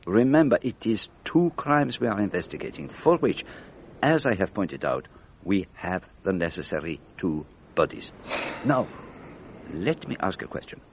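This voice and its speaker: Low-voiced elderly detective. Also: male voice, animated, a little hiss or hum. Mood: patient, probing. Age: older. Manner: precise, deliberate, didactic questioning. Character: elderly, methodical, patient Belgian male detective. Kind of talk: radio drama dialogue.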